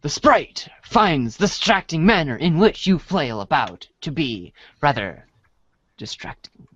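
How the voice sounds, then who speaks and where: Male narrator, theatrical storybook read: unhurried, very varied intonation, dry lo-fi sound. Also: a little high, sing-song, fairly bright tone, no room sound. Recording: dry, dull lo-fi audio.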